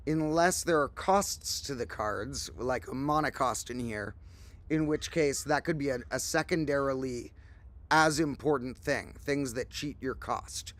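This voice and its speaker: Slightly hoarse man, rambling livestream talk. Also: mid-range voice. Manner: rambling, animated storytelling. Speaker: host. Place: outdoors, windy.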